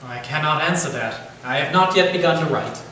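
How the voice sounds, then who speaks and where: A man's voice: a little high, fairly quick, pushed and bright. A man playing a learned Victorian theatre manager, speaking formally with dry wit in a staged reading. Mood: measured. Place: echoey room or small theatre, staged reading. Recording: roomy, distant stage recording, faint noise.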